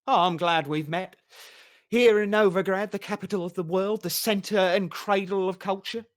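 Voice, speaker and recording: An audiobook reader voicing a vain, flamboyant male poet who talks in a raised, theatrical, excitable way. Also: medium pitch, normal speed, pitched above the performer's own voice, close to an everyday voice.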